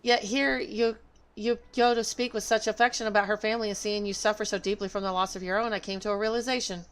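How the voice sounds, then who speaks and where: A woman's voice: mid-range voice, fairly even intonation, fast. A woman game streamer talking casually on a livestream and reading game dialogue aloud. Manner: opinionated, emphatic.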